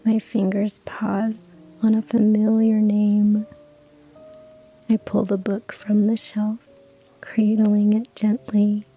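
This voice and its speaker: A woman narrating a cozy story in a hushed ASMR whisper, with soft laughs. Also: medium pitch, completely flat delivery, takes it slowly, breathy edge, soft background music, low-quality recording.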